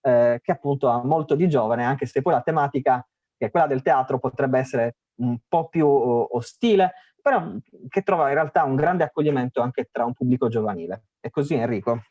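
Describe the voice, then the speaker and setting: A man's voice: medium pitch, brisk, darkish tone, no echo, lo-fi sound. A man with a Sardinian Italian accent presenting a radio festival, talking casually with many fillers and restarts. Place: remote online call.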